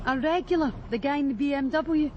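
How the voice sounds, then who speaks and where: A woman acts a brash, matter-of-fact Glaswegian streetwalker in a radio drama. Her voice is on the high side, and she talks frankly and breezily. Faint urban street noise runs underneath. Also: fairly quick, highs cut off, close to an everyday voice. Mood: breezy, confiding. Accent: Glaswegian Scottish. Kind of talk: naturalistic radio drama acting.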